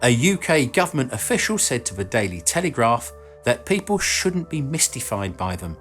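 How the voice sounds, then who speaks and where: A man presents a scripted documentary in an average-pitched voice. His delivery is measured and explanatory, laid over faint background music. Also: sing-song, fairly bright tone. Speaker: documentary video narrator and presenter.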